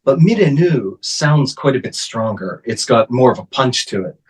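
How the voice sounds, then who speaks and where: A man interpreting for an interview guest, speaking her answers in first person in a measured way with plenty of fillers. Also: mid-range voice, animated, husky, poor audio.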